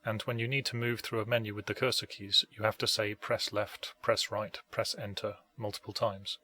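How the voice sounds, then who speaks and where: A man giving scripted, measured instructional narration as he explains how a game is played. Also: on the low side, level tone, bright, forceful tone, husky. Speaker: narrator of a gaming tutorial.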